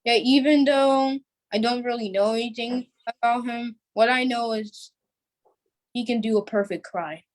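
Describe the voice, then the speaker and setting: A woman's voice: fairly high pitch, unhurried, rough recording. A female interview guest chatting casually and hesitantly, searching for words. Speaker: young cast member, interview guest. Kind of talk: casual chat.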